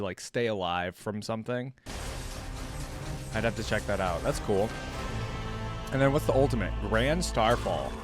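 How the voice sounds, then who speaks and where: A man hosting a reaction video in casual chat, informal and thinking aloud as he goes, often repeating himself. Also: on the low side.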